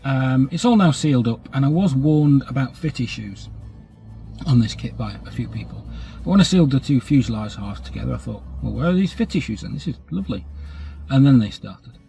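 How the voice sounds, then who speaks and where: A man's voice: medium pitch, animated, a little fast, creaky, mellow, faint music behind. A British man with a cold, chatting casually about his scale model hobby in a rambling, self-deprecating way. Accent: British English. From England. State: has a cold, slightly stuffy. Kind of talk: casual update chat. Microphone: camera mic.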